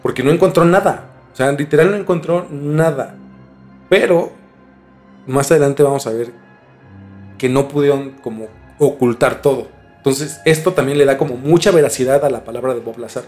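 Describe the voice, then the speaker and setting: A man's voice: mid-range voice, normal speed, darkish tone, soft background music. A young Mexican man telling a story as a podcast host in a casual, slangy conversational way. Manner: informal, slangy.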